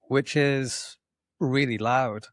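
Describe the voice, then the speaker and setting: A man's voice: medium pitch, steady tone, very slow, dry recording, clean studio sound. A man co-hosting a podcast, chatting casually in a hesitant, hedging way and laughing often. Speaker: podcast co-host. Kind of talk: casual banter.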